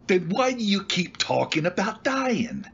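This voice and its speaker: An actor playing a blunt, wary working-class cab driver in an old-time radio drama style. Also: male voice, high voice, sing-song, unhurried, creaky, fairly bright tone, highs cut off, slight background noise, exaggerated voice. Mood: incredulous. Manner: blunt, colloquial, incredulous.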